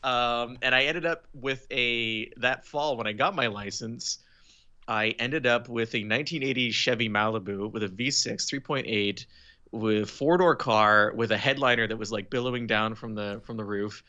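A man from Quebec, Canada, an automotive journalist telling stories as a guest in a casual podcast interview, relaxed and wryly humorous. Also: mid-range voice, normal speed, lo-fi sound. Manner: relaxed storytelling, wry humor.

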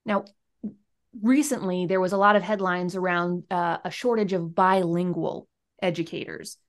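A woman hosting an education podcast, reading a clear, measured introduction and then interviewing guests. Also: lowish voice, steady tone. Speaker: podcast host.

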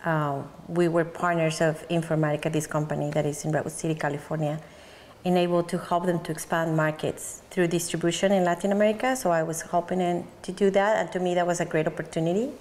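Mexican-accented woman interviewee, lowish pitch, searching phrasing, faint noise and reverb. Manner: thoughtful, searching for words, soft laughs. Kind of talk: oral history interview. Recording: long hall reverb, faint background noise.

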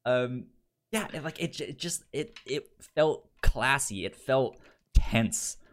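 A man's voice: mid-range voice, lively intonation, slow. A man co-hosting a podcast in casual review chat, enthusiastic, often stammering and repeating syllables as he goes. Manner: enthusiastic about the material. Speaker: podcast co-host reviewing fiction.

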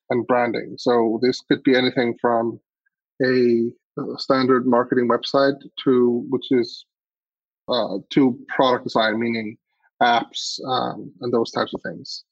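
A man's voice: mid-range voice, no echo. Hesitant Icelandic man on dull, dry audio. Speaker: podcast guest, agency CEO. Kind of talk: interview answers.

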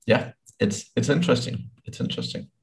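A man's voice: mid-range voice, a little fast, creaky. A man speaking as an interviewer in a casual conversation, hesitant and often restarting his sentences.

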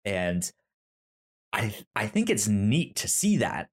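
A man's voice: fairly low pitch, sing-song, takes it slowly, breathy edge. A man co-hosting a casual film podcast over a remote call, stammering and restarting his sentences often. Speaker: podcast co-host.

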